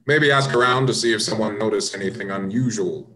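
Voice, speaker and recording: An actor playing a polite, probing American police inspector with measured, courteous questioning in a radio play. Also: male voice, lowish voice, crowd noise, natural voice. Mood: businesslike. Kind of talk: radio play detective dialogue.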